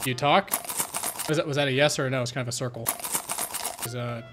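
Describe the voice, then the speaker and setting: A man playing a bewildered, reluctant army recruit in casual improvised comedy roleplay, speaking haltingly with deadpan politeness. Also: medium pitch, a little hiss or hum, natural voice.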